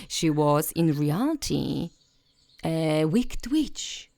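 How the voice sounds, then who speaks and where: A woman narrating a bedtime fairy tale as a storyteller, in a gentle, soothing and expressive way. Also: low voice, drawn-out words, vocal fry, no echo.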